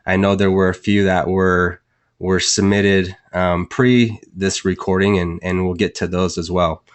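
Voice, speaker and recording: Deep-voiced man hosting a webinar. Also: level tone. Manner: halting, many fillers and restarts. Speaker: webinar host introducing a guest. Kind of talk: casual introduction.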